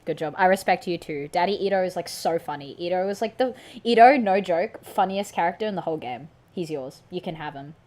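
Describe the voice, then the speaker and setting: A woman's voice: on the low side, a little fast, few pauses, a little mellow. A woman streamer chatting playfully and cracking jokes while playing a video game. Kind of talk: gameplay commentary.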